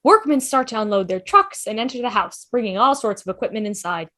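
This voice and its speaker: A woman narrates stage directions for a scripted table read on a video call. Her voice is high and bright, and her delivery moves around in pitch with animation. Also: animated, a little fast.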